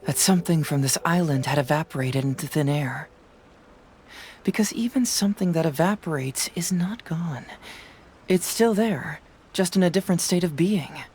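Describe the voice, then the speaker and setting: Woman as a low-voiced, thoughtful young detective. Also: normal speed, darkish tone, close to an everyday voice. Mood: reflective. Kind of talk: video game voice acting. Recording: music behind the voice.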